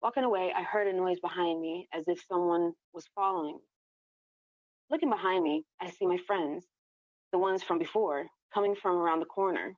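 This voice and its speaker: A woman's voice: on the low side, moderate pace. A woman reading her own first-person story aloud as a narrator, in an echoey, muffled recording. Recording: echoey room, dull lo-fi sound.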